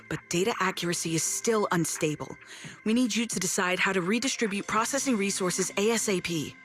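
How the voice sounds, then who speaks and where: Casting: actress as an efficient office staffer, mid-pitched, rapid, formal game dialogue. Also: female voice, fairly bright tone, close to an everyday voice. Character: brisk, businesslike female staffer reporting urgently.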